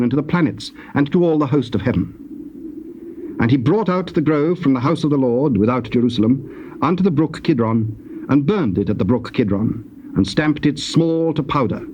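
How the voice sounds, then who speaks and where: A man narrating scripture from a script in a measured, formal manner. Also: mid-range voice, a little mellow. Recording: dull cassette transfer with faint noise.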